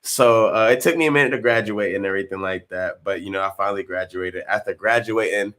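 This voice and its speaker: A man, chatting as an interview guest in a relaxed way with many fillers and restarts. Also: fairly low pitch, fast talker. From North Carolina. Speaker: guest actor. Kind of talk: casual interview.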